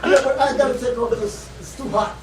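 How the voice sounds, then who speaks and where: A high-pitched man rambles on a club stage, piling up run-on sentences and fillers. He sounds distant and roomy, with a loud crowd and steady noise behind him. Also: brisk. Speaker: open-mic comedian. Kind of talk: rambling stand-up set and panel chat.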